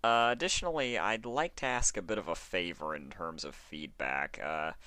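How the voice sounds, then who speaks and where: A man's voice: deep voice, normal speed, pushed and bright, clean tone, no room sound. A man with a sore throat chatting casually to listeners as host, in a hesitant, apologetic way. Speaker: fan fiction reader, show host.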